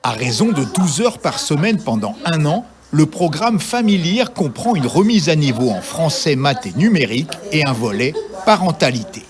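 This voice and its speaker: A man's voice: a little high, big pitch swings, moderate pace, crowd noise. A man narrating a scripted television news report as the reporter.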